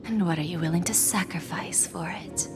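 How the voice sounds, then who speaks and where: An actress playing an alluring, mysterious woman pawnbroker in a video game, courteous and calculating, with silky breathy phrase endings. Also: lowish voice, normal speed, lightly acted. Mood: inviting, curious. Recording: loud music under the voice.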